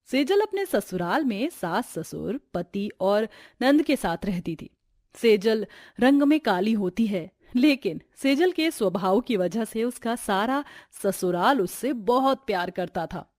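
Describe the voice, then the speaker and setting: A woman's voice: mid-range voice, expressive, a little slow, darkish tone, no room sound, clean studio sound. A woman narrator reading a scripted cartoon family story.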